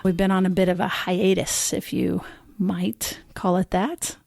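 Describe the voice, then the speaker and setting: A woman's voice: fairly low pitch, level tone, measured pace, creaky, darkish tone, no room sound, studio quality. A woman co-hosting a therapy podcast, talking in a reflective, sincere way. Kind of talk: casual conversational podcast chat.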